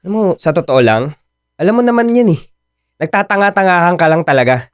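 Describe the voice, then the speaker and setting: An actor playing a scornful, fed-up boyfriend in a radio drama, curt and exasperated. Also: male voice, high voice, sing-song, a little fast, smooth, no echo, clean studio sound. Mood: fed up, cold.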